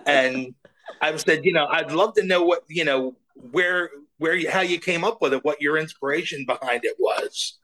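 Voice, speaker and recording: A woman's voice: low voice, moderate pace, a bit bright, poor audio. A woman hosting a podcast and interviewing a guest, effusive and full of praise. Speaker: podcast host and producer. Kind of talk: casual interview.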